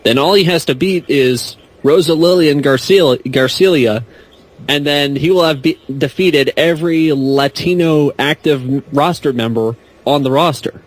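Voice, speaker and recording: A man's voice: on the high side, unhurried, rough recording. A man on a podcast panel bantering casually, swearing and riffing jokingly. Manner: jokey, swearing, riffing with mock voices. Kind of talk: joking casual group chat.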